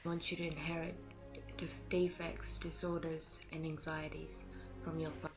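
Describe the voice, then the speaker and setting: A woman reads a poem slowly and solemnly, her voice low and flat. Soft music plays under her. The clip sounds quiet and distant, as if played back through speakers. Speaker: poem reader. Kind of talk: slow poetry reading.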